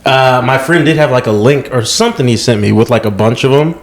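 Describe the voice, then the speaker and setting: A young man co-hosting a casual comedy podcast, reacting with quick quips and swearing freely. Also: medium pitch. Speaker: podcast co-host.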